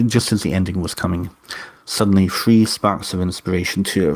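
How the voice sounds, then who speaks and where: Guest: Welsh-tinged British man, very deep voice, eager storyteller, dry close sound. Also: no room sound. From Wales. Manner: enthusiastic, retells legend at length with restarts. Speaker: podcast guest, author and witch. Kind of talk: casual storytelling interview.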